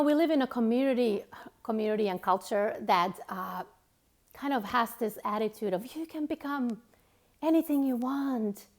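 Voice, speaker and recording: Finnish woman giving a gentle motivational talk. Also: a little high. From Finland. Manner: gentle and reflective, with many fillers and restarts.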